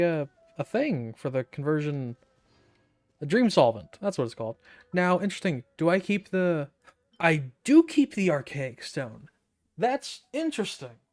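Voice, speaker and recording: Casual, irreverent gamer with medium pitch. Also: a little mellow. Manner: sarcastic, irreverent, swears. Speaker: gaming streamer. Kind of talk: casual, reactive gameplay commentary.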